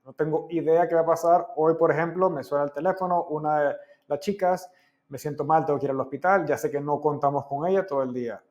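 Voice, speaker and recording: A man's voice: a little high, steady tone, fast talker, darkish tone. A man from the Americas hosts a podcast interview, talking in a loose, conversational way and restarting his sentences. His voice sits a little above the usual male register. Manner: conversational, restarts and rambling questions. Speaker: podcast host.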